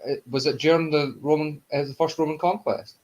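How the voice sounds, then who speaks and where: A man's voice: on the high side, steady tone, brisk, darkish tone, poor audio. A man in his thirties joining in a casual live-stream chat with crude jokes and plenty of laughter. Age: 30s. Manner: jokey and crude, laughs often. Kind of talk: casual banter.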